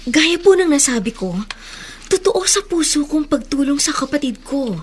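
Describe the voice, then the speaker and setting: Gentle wealthy lady, slightly high, noisy recording. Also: expressive, normal speed. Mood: troubled, earnest. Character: kindly wealthy woman employer hiding a painful past. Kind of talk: Tagalog radio drama acting. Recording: noisy radio drama recording.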